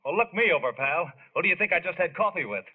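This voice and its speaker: A man's voice: high-pitched, animated, quick pace, breathy edge. An actor playing a cocky, boastful young serviceman in a 1940s radio skit. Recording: muffled old radio recording.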